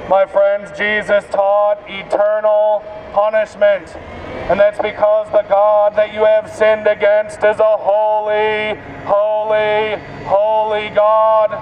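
A man's voice: high voice, monotone, slow pace, a little mellow. A man preaching an open-air evangelistic sermon through a bullhorn in a solemn, declamatory, repetitive manner, outdoors on a city street. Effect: amplified through a bullhorn. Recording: dull bullhorn sound with street traffic noise. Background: street and vehicle noise.

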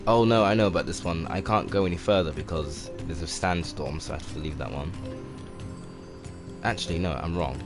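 Twenties male streamer, deep and mellow, laid-back rambling talk, game music clearly audible underneath. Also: fairly quick. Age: 20s. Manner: soft-spoken, relaxed, rambling with many fillers. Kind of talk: casual gameplay commentary. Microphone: condenser microphone.

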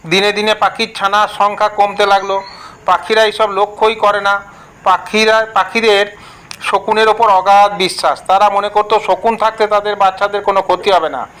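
A man narrating a children's fable in a steady storytelling manner. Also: high voice, moderate pace, clean tone, rough recording.

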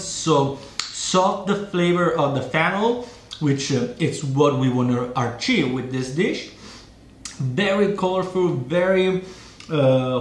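A man in his forties with a Sicilian Italian accent, hosting a casual home cooking demonstration, unhurried with many hesitations. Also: medium pitch, reverberant, slight background noise. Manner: unhurried, hesitant with many fillers, relishing tastes. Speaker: cooking show host. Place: home dining room, indoors.

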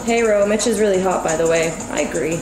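A woman's voice: medium pitch, fast. A woman in her twenties chatting casually and playfully as she co-hosts a livestream, with a teasing manner. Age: 20s. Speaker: co-host of a cooking livestream. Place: indoor home kitchen. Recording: echoey kitchen with music.

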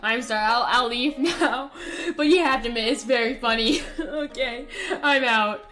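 A woman's voice: fairly high pitch, moderate pace, sharp tone, soft background music. A woman doing casual, playful roleplay commentary over a video game, rambling and stammering as she goes. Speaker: gaming YouTuber.

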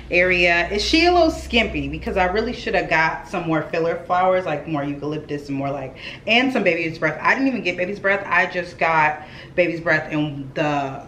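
A woman's voice: lowish voice, pushed and bright, a little hiss or hum. Young woman, casual chatty vlogger talking straight to her viewers, recorded in a roomy echoey house. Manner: chatty, addresses viewers as y'all. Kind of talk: casual vlog chat. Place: at home, echoey rooms. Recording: echoey home room, faint ambience.

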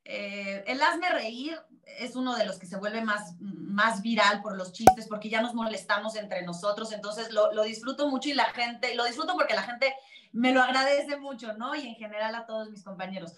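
A woman's voice: on the high side, normal speed, roomy sound, a little hiss or hum. A woman in her forties, born in Spain to Catalan parents and speaking Spanish with a Mexican accent, chatting animatedly as an interview guest over a video call. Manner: chatty, animated, many restarts. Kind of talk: casual interview chat. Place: small room, video call.

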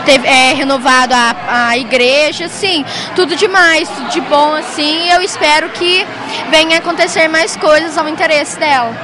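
A woman's voice: high voice, moderate pace. Hesitant young girl, noisy hall. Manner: hesitant, searching for words. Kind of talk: street interview.

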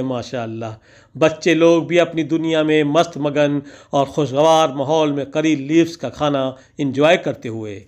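A man narrating a vlog as a scripted voice-over, with ornate, poetic and theatrical phrasing. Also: on the high side. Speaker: vlogger narrating.

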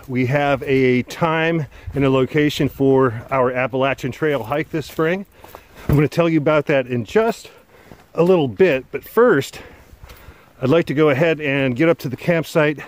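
A middle-aged man hosting a hiking vlog, talking to the camera under a tarp outdoors in the woods in a relaxed, chatty way with lots of "uh"s. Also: fairly high pitch, normal speed. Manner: relaxed and chatty, many filler "uh"s. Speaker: hiking vlogger. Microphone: camera at arm's length.

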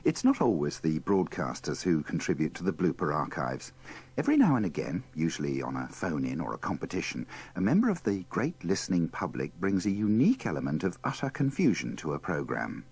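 Very deep-voiced man, wry scripted narration. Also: wildly swooping pitch, fairly quick, a little mellow, breathy edge. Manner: wry, wordy, tongue-in-cheek. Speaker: presenter. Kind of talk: scripted narration introducing radio bloopers.

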